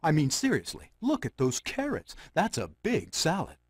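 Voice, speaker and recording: An actor playing a wisecracking fairy-tale storyteller in a video game, chatty and full of sardonic asides. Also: male voice, a little high, wildly swooping pitch, moderate pace, slight background noise. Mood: amused. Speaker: storybook narrator. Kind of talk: comic storybook narration.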